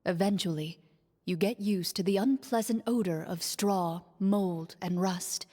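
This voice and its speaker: An actress playing a reserved, composed young duelist in a video game, speaking in a measured, matter-of-fact way. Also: female voice, medium pitch, mellow, close to an everyday voice. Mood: solemn narrating. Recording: reverberant game audio over music.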